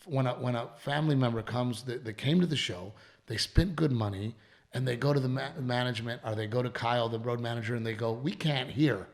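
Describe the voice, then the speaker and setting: Gravelly-voiced man with a worn voice. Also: medium pitch, fairly quick. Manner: rambling, repeats himself, swears casually. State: voice worn from allergies and shows. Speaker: comedian and podcast host. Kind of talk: casual podcast chat.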